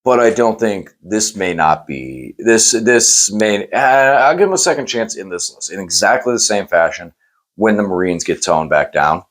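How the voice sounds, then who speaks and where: A man hosting a casual post-game chat, hoarse and losing his voice, talking in rambling, self-correcting runs and teasing his co-host. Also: lowish voice, lively intonation, moderate pace, a bit bright. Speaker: channel host.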